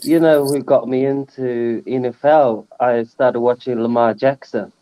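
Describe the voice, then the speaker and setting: A man with a New Zealand accent, a guest on a podcast, chatting in a relaxed way and calling people "bro" and "mate". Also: mid-range voice, unhurried, a crowd in the background, rough recording. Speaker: guest fan. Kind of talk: casual podcast chat.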